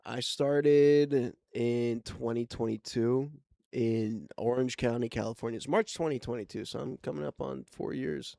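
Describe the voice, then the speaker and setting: A man's voice: medium pitch, unhurried, vocal fry, warm tone, no room sound. Laid-back young male comedian, dry sound. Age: 20s. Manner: laid-back, laughs easily, casual swearing. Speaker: comedian guest. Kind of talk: casual chat.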